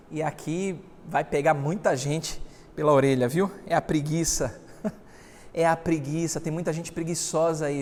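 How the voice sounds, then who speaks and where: High-voiced pastor preaching. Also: male voice. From Salvador, Bahia, Brazil. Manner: emphatic preaching, swelling loud on scripture readings. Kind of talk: sermon. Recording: long hall reverb.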